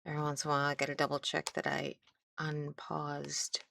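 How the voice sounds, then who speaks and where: A woman's voice: low voice, completely flat delivery, a little fast, vocal fry, a bit bright, slight background noise. A middle-aged woman with a Midwestern accent, chatting as she demonstrates a craft, rambling and trailing off mid-sentence. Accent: Midwestern American. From American Midwest. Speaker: craft instructor. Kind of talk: casual crafting tutorial.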